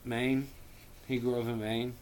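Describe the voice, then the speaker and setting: A man's voice: mid-range voice, level tone, unhurried, slight background noise, low-quality recording. A man reading a story aloud informally, with a theatrical, irreverent manner and profane casual asides. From US Midwest. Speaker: amateur audiobook reader.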